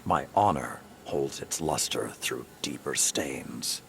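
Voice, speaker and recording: An actor playing a grave, honor-bound old warrior and mentor in a video game, gravelly, solemn and measured. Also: male voice, extremely low pitch, a little hiss or hum, full character voice. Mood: solemn resolve. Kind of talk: dramatic video game cutscene acting. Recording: loud music under the voice. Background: music.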